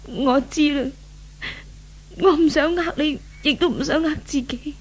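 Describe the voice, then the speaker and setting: A woman's voice: sits high, unhurried. A woman with a Hong Kong Cantonese accent playing a timid, repentant young woman, earnest and theatrical in a 1970s radio drama. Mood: remorseful, pleading. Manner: earnest, wondering, theatrical phrasing.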